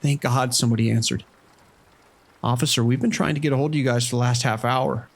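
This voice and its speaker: An actor playing a worried, protective casino manager, speaking urgently in a horror audio drama phone call. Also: male voice, mid-range voice, steady tone, fairly quick, creaky. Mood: urgent, alarmed. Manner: urgent, concerned.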